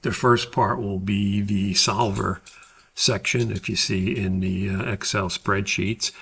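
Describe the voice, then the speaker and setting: A man giving an unscripted, step-by-step tutorial as an instructor, explaining in a halting, methodical way. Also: lowish voice, gravelly, bright, forceful tone, slightly rough. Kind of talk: screen-recorded spreadsheet tutorial, unscripted explanation.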